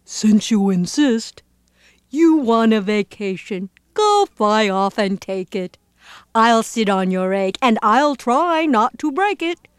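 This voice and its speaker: A woman voicing a huge, gentle, faithful male elephant from a children's storybook, speaking earnestly and deliberately. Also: on the high side, lively intonation, smooth, dry recording, studio quality. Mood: earnest, obliging.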